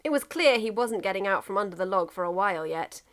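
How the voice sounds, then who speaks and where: A woman reading a scripted story aloud as an expressive narrator. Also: mid-range voice, quick pace. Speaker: narrator, fan fiction reader.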